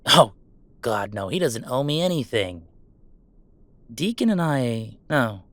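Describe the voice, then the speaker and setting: A man acts out a wisecracking young male werewolf in an audio roleplay. He has a mid-pitched voice and talks in affectionate, teasing banter with sarcastic flourishes. The sound is dry, with no room to it. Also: very animated, takes it slowly, fairly bright tone, dry recording. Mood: sincere, modest. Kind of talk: one-sided roleplay audio drama.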